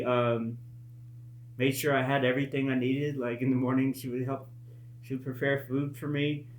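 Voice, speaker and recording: Halting man in an echoey room. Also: mid-range voice. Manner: halting, reflective, earnest. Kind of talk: casual personal storytelling monologue. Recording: echoey room with faint hum.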